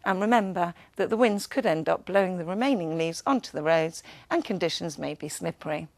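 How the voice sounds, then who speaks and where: A woman's voice: lowish voice, expressive, fairly quick, vocal fry, no echo. A woman presenting a scripted television weather forecast.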